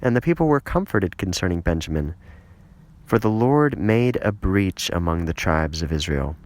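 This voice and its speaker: A man reading the Bible as scripted narration, with solemn, deliberate phrasing. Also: deep voice, lively intonation. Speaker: scripture reader.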